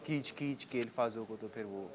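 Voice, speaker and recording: A man's voice: fairly low pitch, a little fast. A man bantering casually and teasingly with a friend, giving him friendly advice. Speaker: reality show contestant. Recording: noticeable background noise.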